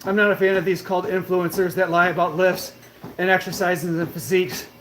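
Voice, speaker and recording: Cocky guy in his late 30s, higher voice, a little winded from cardio, echoey gym with faint hum. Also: flat delivery, fairly quick, fairly bright tone. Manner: boastful and brash, swears casually. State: out of breath from treadmill cardio. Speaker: fitness livestreamer. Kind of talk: casual livestream chat reading comments. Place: gym on a treadmill.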